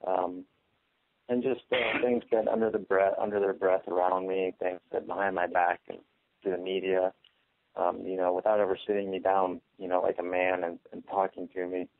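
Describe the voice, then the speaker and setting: Low, monotone man on a phone line. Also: normal speed, creaky, slight background noise. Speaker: fighter on a media conference call. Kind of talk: answering press questions. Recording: tinny, narrow phone line.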